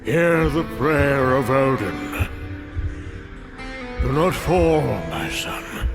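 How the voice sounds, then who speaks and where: An actor playing a regal old father god in a motion comic, speaking slowly in solemn, pleading prayer. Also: mid-range voice, big pitch swings. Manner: slow, prayerful. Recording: loud music under the voice.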